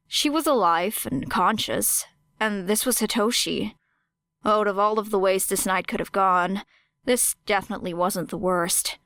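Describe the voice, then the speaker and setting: A woman reading scripted dramatic fiction as an audiobook narrator, measured and expressive. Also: mid-range voice, dry recording.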